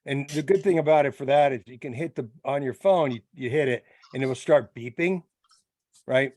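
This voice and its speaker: A man's voice: medium pitch, moderate pace, a little mellow, no echo. Male club member, dry close sound, casual and blunt, explains things plainly to the group. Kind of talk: casual chat.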